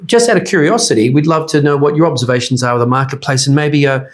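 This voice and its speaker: A man's voice: mid-range voice, fast. A man. He talks as a podcast host, conversational and informal as he puts questions and adds his own comments. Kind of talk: casual interview conversation.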